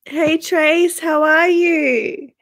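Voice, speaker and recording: A woman's voice: sits high, steady tone, very slow, fairly bright tone. A young Australian woman in her twenties, hosting a casual livestream from her bedroom, chatting with viewers by name and sounding stuffy from a sore throat. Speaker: livestream host. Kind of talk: casual live-stream chat with viewers. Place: small bedroom indoors.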